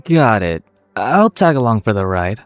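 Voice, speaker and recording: A man's voice: on the low side, big pitch swings, unhurried, over music. A man voicing a dry, skeptical but friendly young adventurer in a video game. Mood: unfazed.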